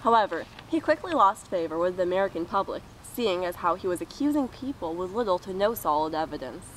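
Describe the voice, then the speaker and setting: A woman's voice: lowish voice, animated, fairly quick, poor audio. Young woman narrating, faint noise. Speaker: co-presenter. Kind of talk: scripted biographical narration.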